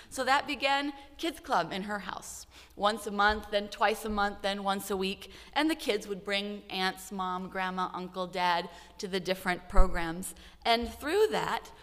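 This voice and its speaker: Heartfelt woman missionary, echoing hall. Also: mid-range voice. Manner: warm, heartfelt storytelling. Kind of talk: church missions update.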